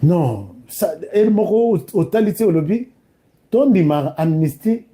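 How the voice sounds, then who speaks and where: Man, Congolese accent, slightly high pitch, dark mellow timbre, online commentator, emphatic direct address, informal delivery. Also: very animated, measured pace. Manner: emphatic, direct address, switching between two languages. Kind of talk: informal political commentary to camera.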